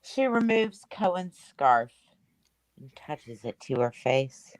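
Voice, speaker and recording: Low-voiced woman reading stage directions, animated. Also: slow, rough recording. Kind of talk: scripted narration at a table read.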